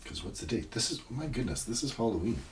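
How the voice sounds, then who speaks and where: A man doing a casual ASMR roleplay, murmuring and rambling in a low-key, unhurried way, sometimes near a whisper. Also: big pitch swings, distant mic, slight background noise.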